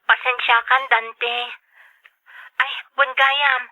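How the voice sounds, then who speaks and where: A woman's voice: high-pitched, flat delivery, slow, no echo. An actress playing a woman in an Ilocano family drama, heard through a narrow phone-like sound. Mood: apologetic, pleading. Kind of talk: radio drama acting in Ilocano.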